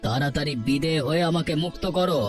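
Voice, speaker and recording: A man's voice: a little high, a little fast, faint music behind, lightly acted. Man acting a harsh, dismissive village headman. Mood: contemptuous. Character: stern, heartless village headman evicting a family. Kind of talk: Bengali cartoon story acting.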